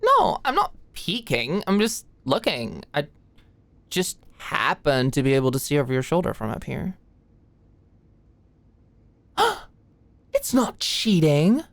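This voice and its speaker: An anxious young female study buddy acted by a woman speaks at a slow pace in a slightly low voice, very dry and close. She is a flustered medical student, stammering and hesitant yet playfully defensive, in an intimate ASMR roleplay. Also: huge pitch swings, creaky, dry recording, heavily acted. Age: young adult.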